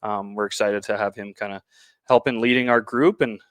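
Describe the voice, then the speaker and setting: A Canadian man speaks as a coach in a sports interview, in a low voice with very little melody. He pauses often and feels his way through his answers. The sound is dry, with no room around it. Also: steady tone, no room sound. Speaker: baseball coach being interviewed.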